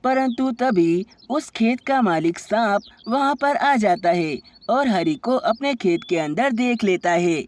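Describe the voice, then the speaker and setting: A woman's voice: fairly high pitch, lively intonation, slow, hardly any pauses. A woman reading scripted narration for a children's bedtime story.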